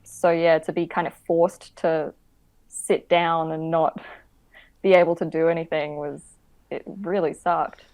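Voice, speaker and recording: A woman's voice: deep voice, flat delivery, a little slow, darkish tone. A woman from Queensland, an actor talking as a podcast guest, hesitant with many fillers. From Queensland, Australia. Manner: hesitant, many ums, laughs while talking. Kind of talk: casual interview.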